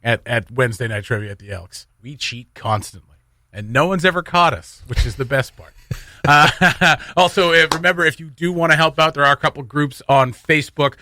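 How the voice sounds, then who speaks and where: A man's voice: on the high side, moderate pace, bright, forceful tone. A man hosting a morning radio show, chatting casually and jokingly, rambling loosely between community announcements.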